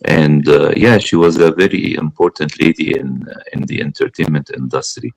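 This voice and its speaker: A man hosting a conversational interview, speaking haltingly with repeated starts. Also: rumbling low, steady tone, vocal fry, low-quality recording. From Egypt. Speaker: interviewer, film critic.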